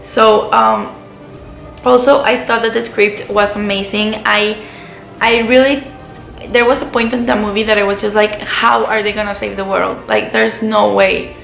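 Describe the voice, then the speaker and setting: A woman's voice: medium pitch, level tone, a little slow, vocal fry, a bit bright. A woman giving a casual, gushing film review to her viewers, full of enthusiastic emphasis. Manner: gushing, enthusiastic fan talk with repeated emphasis. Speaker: movie review vlogger. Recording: roomy echo, faint music behind.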